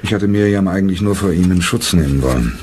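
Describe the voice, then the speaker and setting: Deep-voiced, wry troupe leader. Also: male voice, animated, fast. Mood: bitter. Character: bitter, dry-humoured theatre troupe leader. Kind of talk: German radio play acting. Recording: clearly noisy background.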